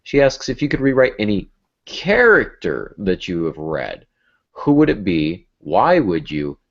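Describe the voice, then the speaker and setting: A man with a very low voice talks casually as a podcast co-host. His pitch moves around in a lively way, though he often halts to think. The audio is dull and muffled. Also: measured pace, creaky. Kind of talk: casual panel discussion.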